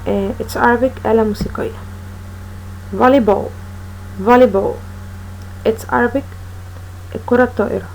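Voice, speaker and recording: A woman teaching an English lesson to young children, speaking slowly and repeating each word for pupils to copy. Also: on the high side, fairly even intonation, creaky, a little mellow, slight background noise, poor audio. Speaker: primary school English teacher. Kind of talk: recorded classroom lesson for children.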